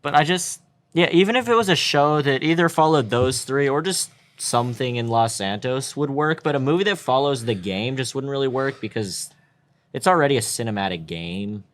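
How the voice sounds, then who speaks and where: A man's voice: medium pitch, expressive, moderate pace. Young man, mid-range voice, casual gaming chat, blunt, opinionated. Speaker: podcast co-host. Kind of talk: casual chat about video games.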